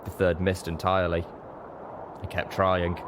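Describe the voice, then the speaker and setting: Low-pitched male story narrator. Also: monotone, measured pace, very noisy. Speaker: audiobook narrator. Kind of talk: dramatic scripted story narration.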